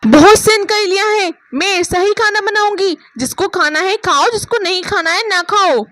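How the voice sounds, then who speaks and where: A woman's voice: very high-pitched, moderate pace, fairly bright tone, no echo. A woman voicing a jealous, hot-tempered elder daughter-in-law in a cartoon moral story, scolding and complaining sharply. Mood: angry, defiant.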